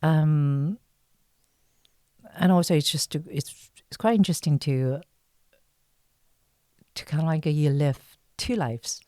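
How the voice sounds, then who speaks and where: A woman's voice: low voice, slow, pauses often, mellow, no room sound, studio quality. A woman from China speaking as an interview guest, an actress answering casually in hesitant, thoughtful phrases.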